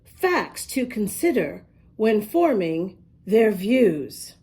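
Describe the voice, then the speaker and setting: A woman's voice: mid-range voice, sing-song, extremely slow, dark tone, husky. A woman reading a storybook aloud to young children, explaining as she goes in an emphatic, sing-song manner. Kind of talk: expressive read-aloud to young children with asides.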